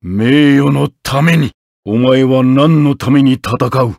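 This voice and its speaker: An actor voicing a stern, honorable armored knight in a fighting game, with a gravelly, husky voice and a solemn, commanding manner. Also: male voice, medium pitch, slow pace, no room sound, clean studio sound. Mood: solemn, resolute. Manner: solemn, commanding, forceful battle shouts. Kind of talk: Japanese fighting game character voice acting.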